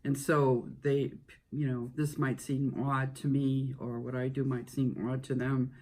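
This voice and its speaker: A middle-aged man with a mellow, warm tone speaks in a measured, thoughtful way. He weighs his words as he answers interview questions. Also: medium pitch, level tone.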